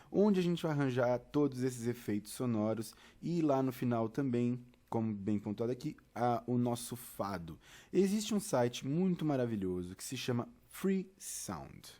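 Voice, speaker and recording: A man with a Brazilian accent and a medium-pitched voice walks the listener through a tutorial. His delivery is informal and chatty, like someone explaining each step directly to a beginner. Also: dark tone. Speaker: theatre and audio tutorial presenter.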